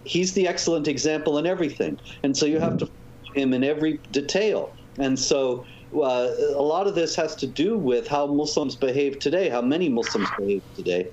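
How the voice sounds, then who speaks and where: A man, a guest author, explaining points in a measured way during a talk show interview. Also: a little high, darkish tone. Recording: dull lo-fi audio with faint noise.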